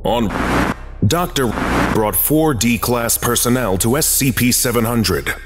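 A man narrating a scripted archive briefing in a formal, measured, clinical manner. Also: medium pitch, expressive, very noisy. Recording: long reverb, music behind. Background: music.

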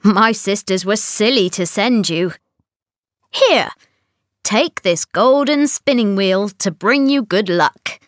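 An old mountain crone from a fairy tale acted by a woman narrator. She gives her advice slowly and deliberately, with a lively, swinging pitch. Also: no room sound, clean studio sound. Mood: dismissive, helpful.

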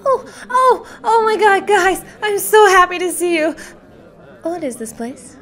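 A woman's voice: very high voice, expressive, measured pace, fairly bright tone, exaggerated voice. A woman playing a shaken, pleading mother in a fan-made cartoon audio drama, speaking emotionally. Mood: tearful relief.

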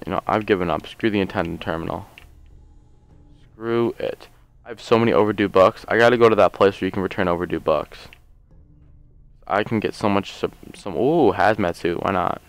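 Casting: relaxed male let's-player, slow and low, slightly hoarse, smooth close dry mic. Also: dry recording. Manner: rambling, jokey, thinks aloud. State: hoarse, losing his voice. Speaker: let's play host. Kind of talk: casual gameplay commentary.

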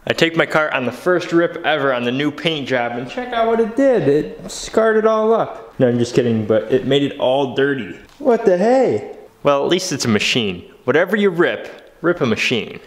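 A man's voice: on the high side, very animated, normal speed, soft background music. Hyped young man in a workshop. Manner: upbeat, hyped, motivational, jokey. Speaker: vlogger. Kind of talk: energetic workshop vlog. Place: garage workshop, indoors. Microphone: handheld camera, close and moving.

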